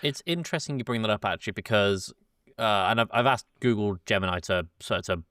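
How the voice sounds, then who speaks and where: A man's voice: fairly low pitch, brisk, fairly bright tone, smooth, no room sound, studio quality. A man hosting a podcast, chatting loosely and casually about film, correcting himself and swearing offhand. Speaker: podcast host.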